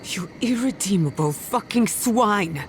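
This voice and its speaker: A woman's voice: mid-range voice, lively intonation, measured pace, a little hiss or hum, natural voice. A woman playing a blunt, hot-tempered companion in a fantasy adventure game, with a direct way of talking. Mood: angry, defiant. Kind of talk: scripted fantasy game dialogue.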